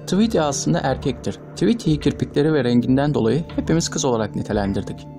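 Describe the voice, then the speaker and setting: A man narrates from a script. His delivery is formal and measured. Music plays clearly underneath. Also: medium pitch, lively intonation. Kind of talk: scripted narration of trivia video. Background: background music.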